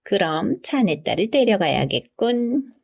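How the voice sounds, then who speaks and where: A woman's voice: mid-range voice, very animated, unhurried, creaky, darkish tone, breathy edge, heavily acted. A woman voicing a greedy, haughty rich man in a storybook, pompous, sly and demanding. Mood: sly, threatening.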